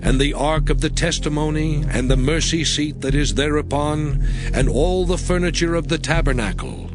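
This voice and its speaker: A man playing a solemn lawgiver, reciting sacred commands in a measured, emphatic, formal way. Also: fairly high pitch, lightly acted. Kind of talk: dramatized scripture reading. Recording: prominent music under voice.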